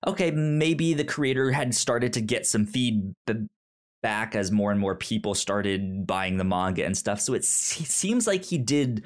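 A man co-hosting a podcast in a casual discussion, talking haltingly and often repeating and stumbling over his words. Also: fairly low pitch. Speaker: podcast co-host. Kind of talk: casual book discussion chat.

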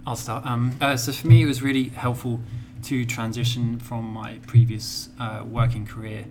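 UK-born male student, monotone and hesitant interview answers, noisy background. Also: medium pitch, moderate pace. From United Kingdom. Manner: hesitant, with fillers. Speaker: master's student. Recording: audible background noise.

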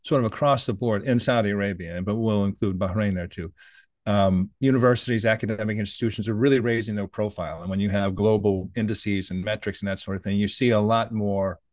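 A male podcast host asks his guest questions in a lowish voice, recorded dry and close. He is curious and engaged, often restarting and repeating words mid-sentence. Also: steady tone, a little fast, a little mellow, no room sound. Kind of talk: interview questions.

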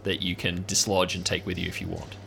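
A man's voice: deep voice, little pitch movement, brisk, sharp tone. An easygoing man narrating an improvised tabletop game as game master in an informal way. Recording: clear background noise and ambience.

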